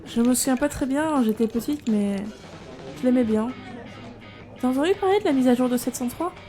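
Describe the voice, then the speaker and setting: High-pitched young woman, acted, brash, sardonic, blunt slangy talk, audio drama, music behind. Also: expressive, fairly quick, dark tone. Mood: bitter, resigned. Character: brash, irreverent young woman robotics engineer, defiant.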